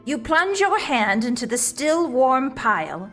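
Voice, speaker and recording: A woman's voice: sits high, very animated, a little slow, fairly bright tone, faint music behind. A woman narrating a comic visual novel in a wry, arch, mock-grand storyteller's manner.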